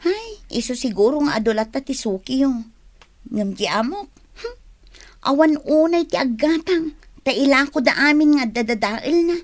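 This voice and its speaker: A woman's voice: high-pitched, animated, normal speed. A woman with a Filipino accent playing a chatty, complaining fruit stall worker in a radio drama. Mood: grumbling. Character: grumbling, gossipy female fruit stall worker. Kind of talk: Ilocano radio drama acting.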